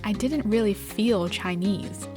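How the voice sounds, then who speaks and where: A woman from New York, a journalist, reading a scripted personal story in a reflective, measured way. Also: mid-range voice, over music.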